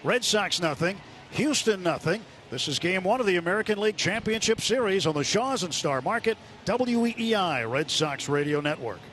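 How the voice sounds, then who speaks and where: A man does live baseball play-by-play for radio in a fairly high male voice. He describes the action as it unfolds at the ballpark, with loud stadium noise behind him. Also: lively intonation, moderate pace. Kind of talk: live radio sports commentary. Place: stadium broadcast booth. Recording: noisy outdoor ballpark broadcast sound. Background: loud ballpark ambience.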